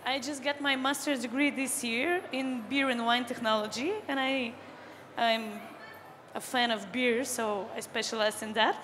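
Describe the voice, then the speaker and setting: Young Bulgarian woman, high voice. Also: fairly even intonation, unhurried, fairly bright tone. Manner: eager, searching for words. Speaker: panelist, brewer. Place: stage in a large hall. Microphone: handheld mic. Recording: hall reverb, faint noise.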